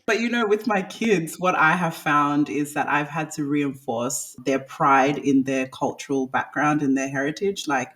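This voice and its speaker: A woman's voice: deep voice, measured pace, hardly any pauses, distant mic. A woman from Ghana, a mother, talking expressively in casual conversation. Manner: expressive, laughs easily. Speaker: mother and guest.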